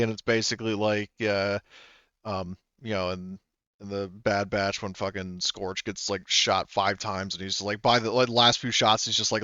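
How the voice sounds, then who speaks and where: Man, game master, smooth resonant voice, lowish, dry dull lo-fi sound, relaxed rules talk. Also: no room sound. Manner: relaxed, explains rules, swears casually. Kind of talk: running a tabletop game, casual table talk.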